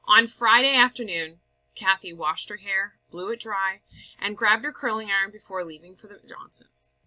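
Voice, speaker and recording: A woman reading a story aloud as scripted narration, with expressive, dramatic emphasis. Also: medium pitch, normal speed, low-quality recording. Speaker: story reader.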